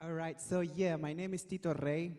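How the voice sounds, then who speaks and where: A man from Chile introducing himself quietly and briefly as a songwriter and immigrant. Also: fairly high pitch, steady tone, normal speed. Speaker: singer-songwriter guest.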